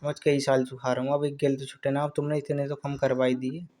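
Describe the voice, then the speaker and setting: A man in a casual phone chat, rambling and teasing in a drawn-out way. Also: mid-range voice, flat delivery, a little mellow. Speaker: caller in a couple's phone chat. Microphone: phone call.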